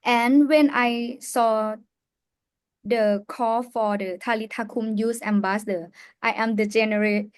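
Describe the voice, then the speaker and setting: Young thai woman, slow, lots of uh's, dry close sound. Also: a little high, fairly even intonation, clear, resonant tone, dry recording. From Thailand. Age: young adult. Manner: earnest, halting, with many fillers. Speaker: youth ambassador. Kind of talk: personal story on a live panel.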